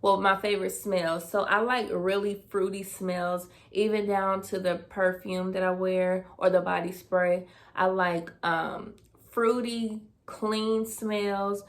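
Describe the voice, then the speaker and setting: Slow easygoing girl in her 20s, chatting thru a q&a tag, lots of ums. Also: medium pitch. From Dayton, Ohio, US. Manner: relaxed, thinks aloud with fillers. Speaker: vlogger. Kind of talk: casual Q&A tag video.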